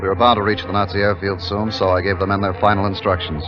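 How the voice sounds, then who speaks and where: An actor playing a brisk, commanding army captain in a 1950s radio drama, speaking in a clipped, authoritative way. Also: male voice, low-pitched, level tone, lightly acted. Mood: tense narration. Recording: muffled old radio recording with background music.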